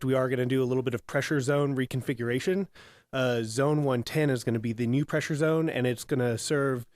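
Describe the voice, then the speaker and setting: A man with a raspy voice, a city utilities manager, explaining a water project at a public meeting with many fillers. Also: medium pitch, a little mellow, no room sound, studio quality. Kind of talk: answering questions at a public meeting.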